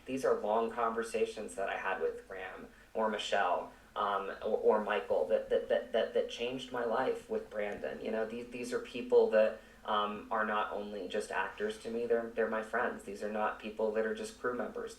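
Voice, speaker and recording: A man speaking reflectively as an interview guest, earnest and halting, repeating words as he searches for phrasing. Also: lowish voice, fairly even intonation. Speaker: actor, podcast guest. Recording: roomy, echoing, distant from mic.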